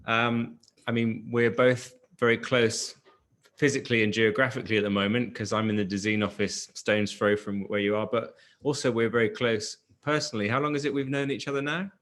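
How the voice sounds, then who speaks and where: A man's voice: mid-range voice, flat delivery, fairly quick, a bit bright. A man hosting a live online interview from his office, asking questions fluently and easily. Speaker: interview host, editor. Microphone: video call.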